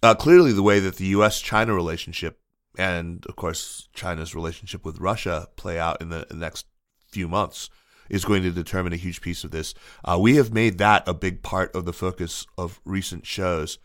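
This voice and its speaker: A man hosting a podcast interview, introducing his guest in a measured, articulate way with the odd filler and restart. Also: low voice, no room sound, clean studio sound. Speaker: podcast host. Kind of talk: scripted intro then interview.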